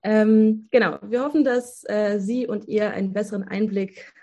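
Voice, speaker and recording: A woman's voice: mid-range voice, steady tone, normal speed, warm tone. A twenty-year-old woman hosting a podcast, talking casually with frequent hesitations and filler sounds. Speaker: podcast host, association member.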